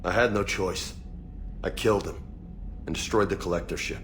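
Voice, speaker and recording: An actor playing a casual, easygoing but burdened soldier in a video game, with informal, slangy speech. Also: male voice, low-pitched, fairly even intonation, moderate pace, a little hiss or hum, natural voice. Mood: troubled, reflective. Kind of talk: conversational video game acting.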